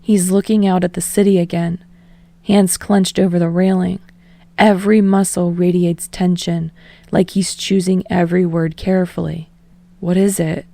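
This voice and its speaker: Mellow woman narrator with vocal fry. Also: lowish voice, level tone, normal speed, no room sound. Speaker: podfic reader. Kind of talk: scripted narration of fan fiction.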